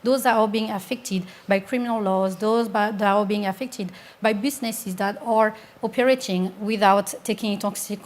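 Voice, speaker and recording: A woman from France speaking as a panelist, earnest and insistent. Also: medium pitch. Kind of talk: panel discussion.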